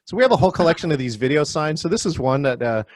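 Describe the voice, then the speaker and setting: A man's voice: a little high, animated, very fast, dry recording. A man hosting an online workshop on a video call, calling on attendees by name in a friendly way. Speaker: virtual meeting trainer.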